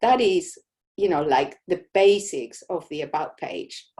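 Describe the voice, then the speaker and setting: Chatty woman from Argentina, lowish voice. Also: animated, unhurried. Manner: warm, chatty, many "you know" fillers. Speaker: host of a live Q&A for business owners. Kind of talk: casual live Q&A session.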